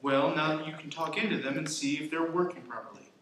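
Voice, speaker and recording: Man playing brisk sound tech, very echoey. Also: a little high, fairly bright tone, natural voice. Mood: businesslike. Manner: brisk, instructive. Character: no-nonsense young student sound technician. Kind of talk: amateur radio drama acting. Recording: very echoey room, faint noise.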